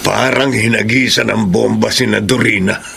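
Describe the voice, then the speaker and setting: Smug scheming Filipino politician, gravelly. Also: male voice, lowish voice, steady tone, moderate pace, bright, forceful tone, a little hiss or hum, full character voice. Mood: scheming, coaxing. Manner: smug, chuckling, coaxing. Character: scheming older male politician, smug father figure. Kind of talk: Filipino radio drama acting.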